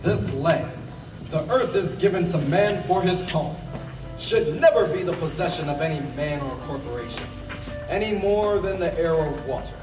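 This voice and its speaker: Man acting principled old-time statesman, solemn, amateur radio drama, distant mic, somewhat high pitch. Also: expressive, moderate pace, natural voice. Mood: solemn, proud. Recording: echoey, distant mic, music behind.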